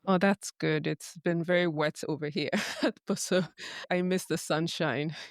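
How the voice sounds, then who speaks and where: A woman hosting a business interview podcast, reading a measured scripted intro and then chatting in a friendly, easy way with her guest. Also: fairly low pitch, steady tone, dry recording. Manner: measured, friendly questioning with light laughs. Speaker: podcast host. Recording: dry close sound with faint music under intro.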